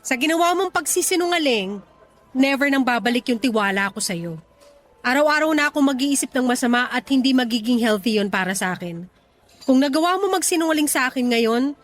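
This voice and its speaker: A woman's voice: on the high side, natural voice. A young woman acting a hurt, angry, sarcastic girlfriend in a radio drama. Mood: hurt, resolute. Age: young adult. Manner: sarcastic, confrontational, emotional. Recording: music behind.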